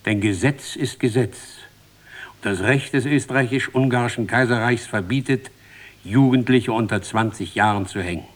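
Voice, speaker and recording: A man's voice: medium pitch, normal speed. A man narrating a literary script in an ironic, rhetorical manner.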